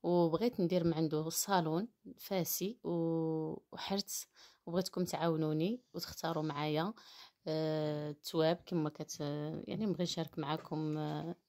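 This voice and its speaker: Casting: female vlogger, Maghrebi Arabic accent, slightly low pitch, rapid casual delivery sprinkled with French. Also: steady tone, dark tone. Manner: mixes French words into Arabic. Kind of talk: casual voice-over to viewers.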